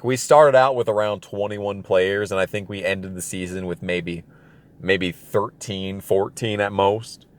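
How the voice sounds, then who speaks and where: A young man telling a casual personal story as an exasperated, self-deprecating rant with plenty of swearing. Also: deep voice. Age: young adult. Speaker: storytelling video creator.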